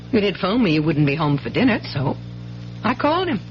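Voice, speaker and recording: An actress playing a cool, defiant young wife in a radio drama, with an arch, sardonic way of talking. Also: female voice, on the low side, sing-song, fast talker, a little hiss or hum. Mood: cool, taunting. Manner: arch, teasing, coolly sarcastic. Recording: dull vintage radio recording.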